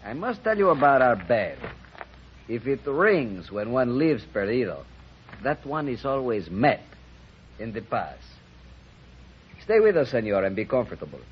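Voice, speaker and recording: An actor playing a courteous, insinuating Mexican town mayor in a 1950s radio western, with a Mexican accent. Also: male voice, fairly low pitch, very animated, measured pace, long pauses, breathy edge, full character voice. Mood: veiled threat. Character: smooth, sly red-headed Mexican-Irish town mayor. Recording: dull, muffled 1950s radio recording.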